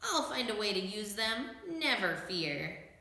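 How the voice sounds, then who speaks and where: A woman voicing an eager scarecrow who pleads in slow, drawn-out phrases in a storybook reading. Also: medium pitch, very animated, sharp tone, heavy reverb, voice pushed up, exaggerated voice. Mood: overjoyed, grateful.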